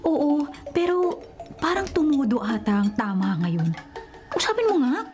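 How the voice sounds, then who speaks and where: A young woman who is the gossipy best friend, high and very lively in pitch. She is sassy, slangy and teasing, in radio romcom style with music behind her. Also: measured pace. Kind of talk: Filipino radio romantic comedy acting.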